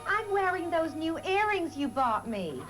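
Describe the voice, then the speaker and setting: A woman's voice: extremely high pitch, sing-song, a little slow, exaggerated voice. A woman playing a chirpy, teasing wife in broad comedy, sweet and breezy with exaggerated delivery. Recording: audience noise and music behind.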